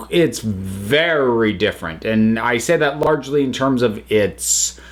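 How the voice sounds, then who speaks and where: A man hosting a casual spoken review, rambling along with many fillers and restarts. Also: medium pitch. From United States. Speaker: host reviewing audio dramas.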